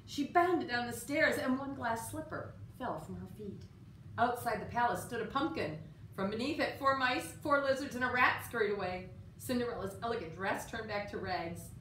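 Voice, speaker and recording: A middle-aged woman reading a children's picture book aloud in an expressive, measured storytelling style. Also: on the high side. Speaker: storyteller at a library. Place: indoor room with story sign. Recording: roomy, distant mic, faint room noise.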